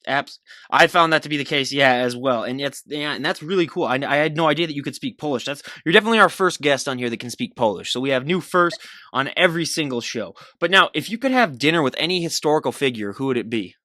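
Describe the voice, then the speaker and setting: A man hosting a podcast, firing off interview questions in an upbeat, quick way. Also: medium pitch, lively intonation, pushed and bright, no room sound. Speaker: podcast host. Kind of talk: rapid-fire interview questions. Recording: dry close-miked podcast audio.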